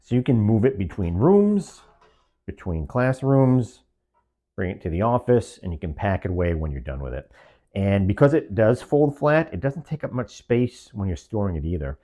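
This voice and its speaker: A man's voice: on the low side, sing-song, normal speed, mellow, dull audio. A man giving a semi-scripted product review, explaining features step by step in short phrases.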